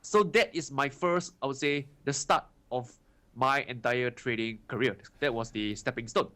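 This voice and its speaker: A man's voice: medium pitch, normal speed, sharp tone. Chatty young Singaporean man. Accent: Singaporean English. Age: 20s. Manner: chatty, many fillers like "right" and "you know". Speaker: guest trader. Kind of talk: casual interview answers.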